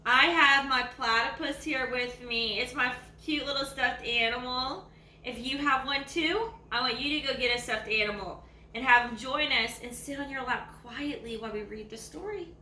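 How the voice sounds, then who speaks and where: A woman's voice: sits high, normal speed, sharp tone, recorded from a distance. A woman with a Southern US accent reading a children's story like a teacher, explaining and asking the young listeners questions. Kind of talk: children's story read-aloud. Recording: echoey room, faint background noise.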